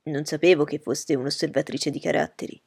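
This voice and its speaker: A woman playing an eager young gentleman. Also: sits low, fairly even intonation, a little fast, creaky, breathy edge, no room sound, clean studio sound, full character voice. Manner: eager, emphatic. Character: cheerful, impulsive young gentleman.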